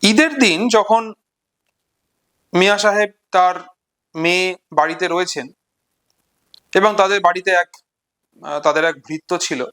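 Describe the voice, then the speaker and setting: A man retelling and explaining a short story in an unscripted lesson, deliberately, pausing between short phrases. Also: high voice, a bit bright. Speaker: literature explainer.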